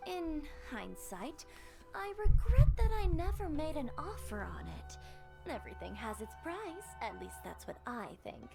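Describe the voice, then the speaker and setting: A woman's voice: high-pitched, very animated, measured pace, gravelly, music in the background, full character voice. A woman voicing a polite, shrewd shopkeeper in a video game, courteous and coyly mercantile. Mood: musing. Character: polite, shrewd female grocery shopkeeper with teasing composure. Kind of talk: game character dialogue.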